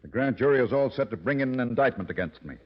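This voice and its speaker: An actor playing a gruff, irritable nightclub owner and racketeer in a tough, dismissive style of 1940s radio drama. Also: male voice, mid-range voice, expressive, fast, close to an everyday voice. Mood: worried, irritated. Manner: tough, dismissive gangster talk. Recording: muffled old radio broadcast.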